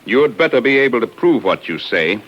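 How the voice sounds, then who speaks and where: An actor playing a stern, official, by-the-book colonel in 1950s radio drama style. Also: male voice, medium pitch, big pitch swings. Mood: stern, skeptical. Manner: official, measured, warning. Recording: muffled old radio recording.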